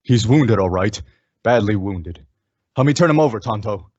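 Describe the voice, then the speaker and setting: A man playing a brave, steady masked cowboy hero in a radio western, commanding and reassuring. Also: lowish voice, moderate pace, breathy edge, no echo, highs cut off. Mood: steady, concerned. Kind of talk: old-time radio western acting.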